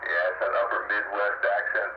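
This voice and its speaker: Folksy man buried in loud radio static. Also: on the low side, completely flat delivery, moderate pace. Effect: AM two-way radio transmission. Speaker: amateur radio operator. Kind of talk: casual on-air radio banter. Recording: very noisy, muffled, echoey radio signal.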